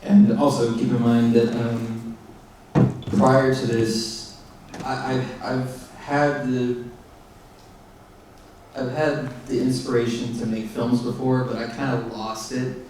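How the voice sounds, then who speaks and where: Flat, mellow-voiced filmmaker in echoey theater. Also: male voice, mid-range voice, recorded from a distance, slight background noise. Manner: halting, many fillers and restarts. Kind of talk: post-screening Q&A answers.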